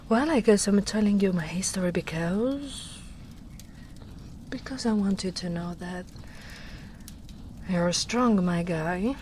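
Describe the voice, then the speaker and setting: Woman playing a soft-spoken gentle big ogre. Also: lowish voice, a little hiss or hum. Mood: tender. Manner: soft-spoken, half-whispered storytelling. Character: gentle big female ogre, tender and protective. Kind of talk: soft-spoken ASMR roleplay.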